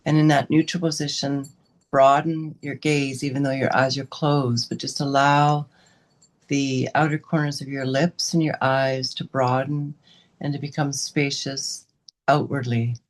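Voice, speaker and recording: Woman gently leading a guided meditation. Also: extremely low pitch, little pitch movement. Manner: unhurried, pausing between short instructive phrases. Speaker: meditation and yoga teacher leading a guided session.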